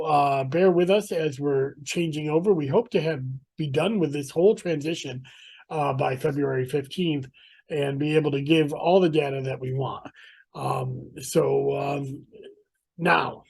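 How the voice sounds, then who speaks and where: Host, male, fairly high voice, hesitant delivery full of fillers, on a video call. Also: creaky, a little mellow, slightly rough. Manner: halting, many filler sounds. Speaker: podcast host and elections commissioner. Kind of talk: casual video-call interview.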